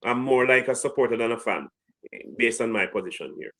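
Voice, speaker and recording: Halting Caribbean man analysing sport. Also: mid-range voice, expressive, a bit bright. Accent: Caribbean English. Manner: halting, many fillers and restarts. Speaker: co-host analyst.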